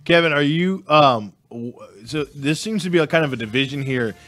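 A man's voice: fairly high pitch, moderate pace, fairly bright tone, faint music behind. A man co-hosting a sports talk show in a studio, playful and asking pointed questions. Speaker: co-host. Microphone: desk microphone on a boom.

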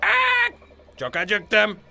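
A man playing a hungry, grumbling cartoon underling with a whiny, excitable manner. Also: sits high, very slow, gravelly, background noise, heavily acted.

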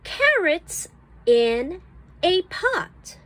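Very slow, high-pitched woman teacher reading aloud. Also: big pitch swings, fairly bright tone. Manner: deliberate, carefully enunciated word by word. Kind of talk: slow read-aloud of an early reader for children.

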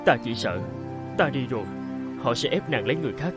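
A man's voice: mid-range voice, lively intonation, fast, loud music behind the voice, close to an everyday voice. A man voicing a lovesick young village man in a cartoon, sorrowful and hesitant. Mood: anxious, tender. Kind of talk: cartoon voice acting.

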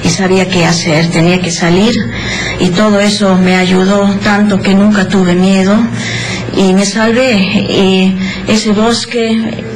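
A woman from Peru, a biologist, speaking as a guest in a radio studio interview at a desk microphone, calm and measured with slightly halting phrasing. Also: mid-range voice, a little hiss or hum, low-quality recording.

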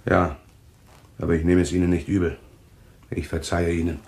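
An actor playing a fatalistic male clairvoyant in a German radio thriller, speaking in a solemn, halting, insistent way. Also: deep voice, level tone, darkish tone. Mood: resigned, foreboding. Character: fatalistic male clairvoyant foretelling his own death.

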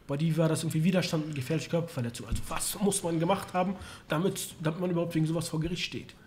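A young man speaks as an interview host in a casual, explanatory way. His voice sits a little high and moves quickly, close on a studio microphone. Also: steady tone, darkish tone, slight background noise. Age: young adult. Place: small indoor studio lounge. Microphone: microphone on boom arm.

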